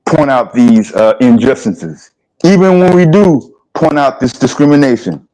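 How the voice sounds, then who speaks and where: A man in his fifties from the American South, hosting a livestream discussion in a plainspoken, halting way. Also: medium pitch, expressive, warm tone, low-quality recording. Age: 50s. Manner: plainspoken, halting, asks rhetorical questions. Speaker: livestream host. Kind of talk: casual discussion.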